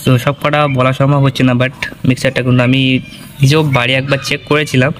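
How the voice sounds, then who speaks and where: A man talks rapidly at a middling pitch as he reviews audio gear. He explains things informally and keeps checking that the listener is following. Faint ambient noise sits behind his voice. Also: flat delivery. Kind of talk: informal product walkthrough.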